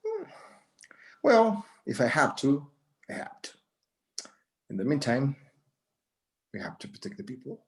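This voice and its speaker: A man playing a solemn elderly rancher turned vigilante in a screenplay read, grave and deliberate, mixing Spanish and English. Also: medium pitch, huge pitch swings.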